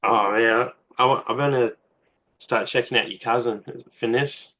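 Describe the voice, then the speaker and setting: A man's voice: mid-range voice, steady tone, a little slow, sharp tone, slight background noise. A man hosting a casual interview, eager and full of compliments, sometimes stumbling over his words. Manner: enthusiastic, complimentary, stammers a little. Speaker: interviewer, host.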